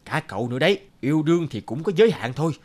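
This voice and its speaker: A man's voice: on the high side, big pitch swings, very fast. A man voicing a sensible, nagging young office colleague in a cartoon, earnest and chiding. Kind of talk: cartoon voice acting.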